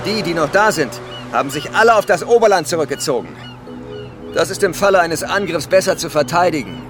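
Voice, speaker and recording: A man acts out a calm island survivor chief in a radio drama. His fairly high male voice is measured and patronizing, used to being obeyed. Music plays clearly behind him. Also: close to an everyday voice. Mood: grave, explanatory. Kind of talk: German radio drama acting.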